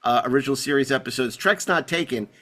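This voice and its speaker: A man hosting a podcast in casual chat, halting and often repeating his phrases. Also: medium pitch, bright, forceful tone. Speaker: podcast host.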